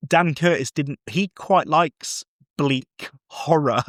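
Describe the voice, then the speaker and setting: A man chatting casually about films, acting out bits of dialogue as he tells it in an animated way. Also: a little high, takes it slowly, no room sound, studio quality. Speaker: podcast guest film reviewer. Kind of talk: casual, chatty film discussion.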